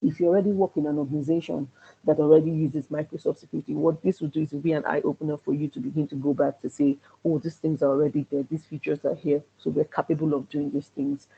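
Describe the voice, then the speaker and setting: A man who says he has a loud voice teaches a security class on a video call, hesitating often with ums and restarts. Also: fairly high pitch, flat delivery, fast, creaky, husky. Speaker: security trainer. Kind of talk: online class presentation. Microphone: computer mic on a video call. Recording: dull lo-fi video call audio.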